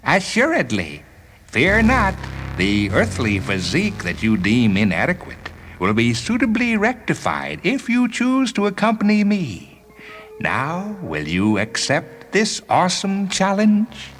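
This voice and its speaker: A man voicing an alien guardian sphere, declaiming in solemn, archaic, drawn-out phrases for a science fiction story. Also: on the high side, wildly swooping pitch, fairly bright tone, soft background music, voice pushed up, exaggerated voice. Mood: grandiose, solemn. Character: alien guardian sphere, grandiose herald turned hustling fight manager.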